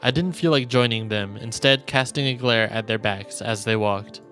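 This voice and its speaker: Male streamer, medium pitch, dramatic visual novel narration, measured storytelling, faint game music. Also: pushed and bright. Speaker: streamer reading a game aloud.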